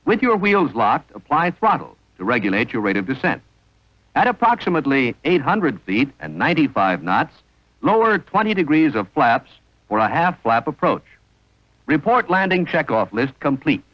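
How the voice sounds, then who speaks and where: A man's voice: a little high, big pitch swings, normal speed, dry recording, highs cut off. A man narrating an instructional training film in a formal, precise manner on a vintage soundtrack.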